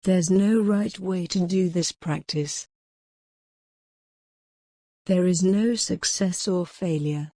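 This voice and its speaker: A woman leading a guided mindfulness meditation in a gentle, soothing, measured way with long pauses. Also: fairly low pitch, no echo, studio quality.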